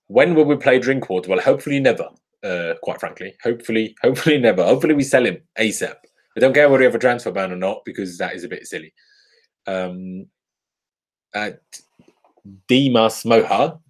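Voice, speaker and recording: A man's voice: mid-range voice, darkish tone. A man in his twenties from Austria, with an Austrian accent tinged by English and Irish, chatting casually in a live-stream Q&A with frequent laughs and ums. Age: 20s. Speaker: football YouTuber.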